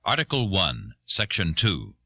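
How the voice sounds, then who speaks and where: A man reads a legal document in a solemn, deliberate way. His voice is fairly low yet strikingly forceful, with animated intonation, on an old cassette recording. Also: gravelly, no room sound. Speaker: reader. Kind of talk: formal reading of a legal text.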